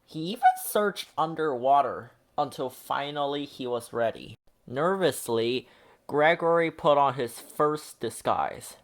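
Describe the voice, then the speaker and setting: A man reading a children's picture book aloud in a deliberate, expressive storytelling manner. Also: medium pitch. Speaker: storybook reader.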